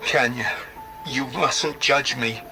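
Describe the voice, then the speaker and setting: A man's voice: mid-range voice, takes it slowly, loud music behind the voice. A man playing an anguished father in a game, pleading emotionally with his child. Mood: pleading, guilty. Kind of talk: dramatic game cutscene acting.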